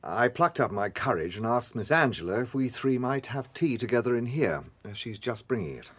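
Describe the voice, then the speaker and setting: Gentleman British detective for radio drama; courteous, shrewd, dryly playful, slightly husky and expressive. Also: mid-range voice, no echo. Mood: probing. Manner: measured, polite, dryly authoritative.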